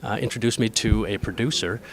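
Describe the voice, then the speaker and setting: A man, an actor being interviewed, explaining things in a hesitant, halting way. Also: fairly low pitch.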